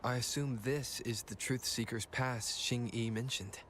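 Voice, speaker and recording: A video game's young adventurer hero, played by a man, calm and inquisitive. His voice is medium in pitch, with faint noise and ambience behind it. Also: unhurried, close to an everyday voice. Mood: curious. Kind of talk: video game voice acting.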